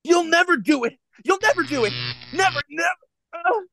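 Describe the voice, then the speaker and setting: A man's voice: extremely high pitch, animated, moderate pace, gravelly, bright, forceful tone, soft background music, heavily acted, rough recording. A man playing a broken, terrified political prisoner under torture in a radio drama, anguished and repeating himself. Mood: anguished defiance. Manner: anguished, pleading, repetitive.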